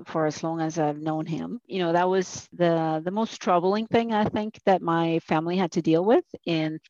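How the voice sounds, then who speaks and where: Colombian-born woman, hesitant and low-voiced. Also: steady tone, moderate pace, a little mellow, no room sound, muffled recording. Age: middle-aged. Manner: hesitant, many restarts and fillers. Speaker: guest. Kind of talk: conversational interview.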